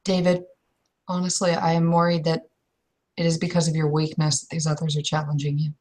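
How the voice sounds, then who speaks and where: Woman playing a low-voiced, stubborn werewolf clanswoman. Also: monotone, brisk, darkish tone. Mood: worried, firm. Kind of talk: improvised in-character roleplay dialogue.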